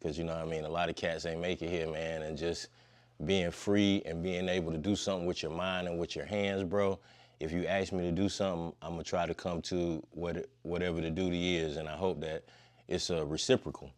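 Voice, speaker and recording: Deep-voiced laid-back man in his fifties. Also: little pitch movement, fairly quick. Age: 50s. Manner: laid-back, slangy, long-winded. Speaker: podcast guest. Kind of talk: casual conversation.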